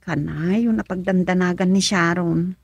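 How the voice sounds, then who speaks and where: A woman's voice: lowish voice, fairly even intonation, unhurried, gravelly, slightly rough, no room sound, muffled recording, heavily acted. An actress with an Ilocano accent playing an inquisitive village councilman's wife in a radio comedy-drama.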